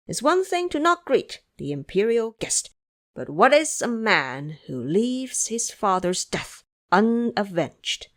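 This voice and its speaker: A woman's voice: medium pitch, very animated. Woman playing a humble, pious monk. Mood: measured, reciting. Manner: courteous, measured, preachy.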